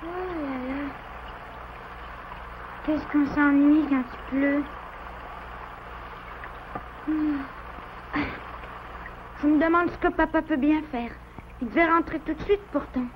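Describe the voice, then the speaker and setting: A woman's voice: high-pitched, slow pace, pauses often, noisy background. A woman voicing a mischievous, boastful little boy beaver in a children's cartoon, exclamatory and childlike. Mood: bored. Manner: exclamatory, self-assured, childlike. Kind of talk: dubbed children's cartoon acting. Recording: dull lo-fi cartoon soundtrack, loud music.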